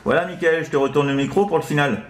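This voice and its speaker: A man's voice: fairly high pitch, steady tone, quick pace. A man having a casual CB radio chat as an operator, rambling and hesitant, repeating little filler tags. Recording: echoey room.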